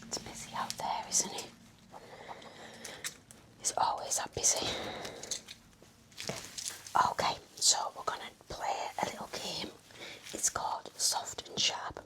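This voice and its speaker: A woman whispering in a gentle, soothing, encouraging way as she role-plays an attentive nurse for ASMR. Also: slow, pauses often. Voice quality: breathy whisper. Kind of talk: whispered ASMR medical exam roleplay.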